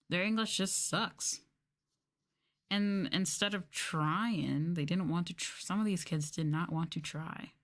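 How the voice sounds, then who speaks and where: A young adult woman chatting casually and softly as an ASMR streamer, candid and mildly sweary. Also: fairly low pitch, takes it slowly. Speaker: VTuber streamer. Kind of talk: soft-spoken ASMR casual chat.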